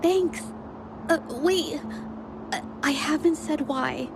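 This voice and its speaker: A woman's voice: sits high, takes it slowly, gravelly, background noise, exaggerated voice. A woman playing a shy, apologetic young woman in a video game, speaking hesitantly and politely. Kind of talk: video game character dialogue.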